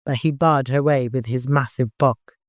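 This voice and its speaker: A man narrating fiction as an audiobook, in an expressive, dramatic storytelling manner. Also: mid-range voice, normal speed, no room sound, studio quality.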